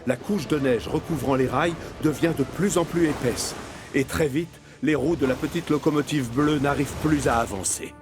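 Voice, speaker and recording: A man narrating a children's story from a script in an expressive storytelling manner. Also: a little high, moderate pace, music in the background.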